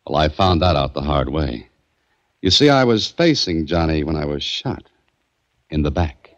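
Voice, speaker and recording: A man's voice: extremely low pitch, big pitch swings, moderate pace, heavy background noise. An actor playing a determined male detective who narrates his own case, in 1940s radio acting. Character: hard-boiled male detective, dry and determined. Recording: dull old radio recording.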